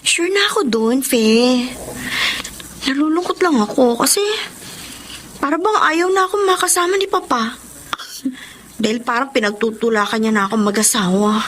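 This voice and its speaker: An actress playing an affectionate, respectful young stepdaughter in a Filipino drama, speaking earnestly with a Filipino accent. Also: female voice, a little high, normal speed, long pauses, fairly bright tone, slight background noise, close to an everyday voice. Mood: wistful. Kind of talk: Filipino drama acting with first-person narration.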